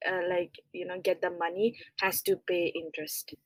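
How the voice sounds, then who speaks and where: A young woman guest chatting casually, relaxed and leaning on "like" as a filler. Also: fairly low pitch, normal speed, no room sound, rough recording. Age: young adult. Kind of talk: casual chat.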